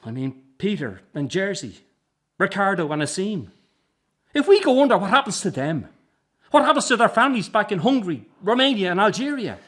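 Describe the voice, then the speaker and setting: An actor playing a driven, frustrated middle-aged son with an Irish accent, in a naturalistic radio drama. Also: male voice, sits high, very animated, a little fast, a bit bright, heavily acted. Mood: frustrated, forceful. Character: driven, frustrated son running the struggling family circus.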